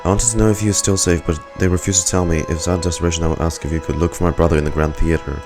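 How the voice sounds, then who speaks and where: A calm man streaming a video game, reading its text aloud with casual asides and small stumbles. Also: sits low, rapid-fire. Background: game music.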